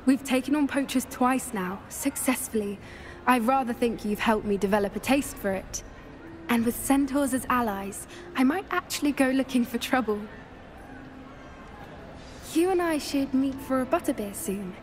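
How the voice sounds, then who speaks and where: A woman voicing an earnest, plucky British teenage schoolgirl witch in a video game, speaking gently and sincerely. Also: sits high, normal speed, slight background noise, close to an everyday voice. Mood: cheerful, plucky. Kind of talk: video game cutscene acting.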